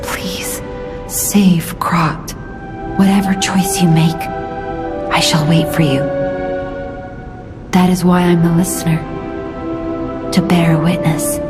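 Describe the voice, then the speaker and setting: Grave gentle game guide, low voice, hushed now and then, music playing behind. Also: female voice, level tone. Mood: solemn, pleading. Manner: grave, measured, hushed at times. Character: solemn, gentle guiding woman who bears witness. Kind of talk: dramatic video game cutscene dialogue.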